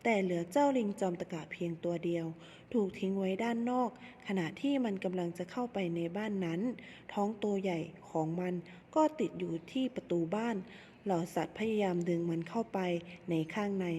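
A woman's voice: mid-range voice, warm tone, slight background noise. A young woman student narrating a children's story in short, simple phrases, in a reverberant hall. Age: young adult.

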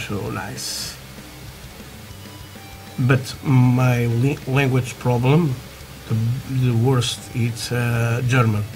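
A man from Portugal with a Portuguese accent, chatting haltingly through a hobby tutorial with many fillers, while building at a workbench. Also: mid-range voice, steady tone, a little mellow, soft background music, rough recording. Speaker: scale model builder. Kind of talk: casual hobby tutorial talk. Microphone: camera over work desk, hands only.

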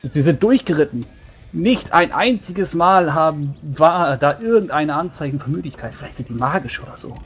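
A man playing a plain-spoken, grumbling dwarf warrior in a tabletop roleplay, speaking haltingly and matter-of-factly. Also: fairly high pitch, lively intonation, breathy edge. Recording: faint background noise.

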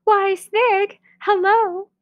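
A woman's voice: extremely high pitch, steady tone, extremely slow, highs cut off, pitched above the performer's own voice, full character voice. A woman voicing a small, clever, boastful mouse from a storybook, in an emphatic sing-song manner. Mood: bold.

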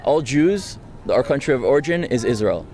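A young man from France giving a street interview outdoors on a campus, talking fast and insistently into a handheld mic. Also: mid-range voice, sing-song, mellow. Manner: fast, insistent, argumentative, frequent tag "right?". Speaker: interviewee, student activist. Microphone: handheld mic held close by interviewer.

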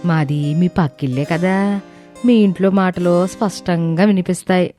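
A woman's voice: lowish voice, fairly even intonation, moderate pace, mellow, soft background music, natural voice. A woman voicing a nosy, insinuating neighbourhood auntie in an audio story. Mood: prying. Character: prying, gossipy neighbourhood auntie.

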